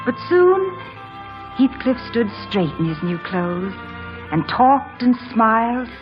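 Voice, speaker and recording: A woman's voice: a little high, sing-song, slow pace. An actress playing a grown woman who narrates her childhood wistfully in an old radio drama. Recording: muffled old broadcast over music.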